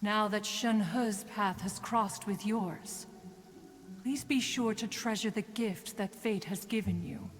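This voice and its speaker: Casting: woman to play a haughty, ancient crane immortal for a game; measured, formal delivery, slow, with music beneath. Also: medium pitch, natural voice. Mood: earnest. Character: dignified, proud crane adept, ancient female immortal master. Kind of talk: scripted video game voice acting. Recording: long reverberant tail, music underneath. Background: background music.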